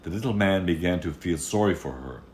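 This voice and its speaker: A man with a low voice reads a fairy tale aloud. His storytelling is expressive, with lively movement in pitch, and there is some noise in the background. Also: fairly quick.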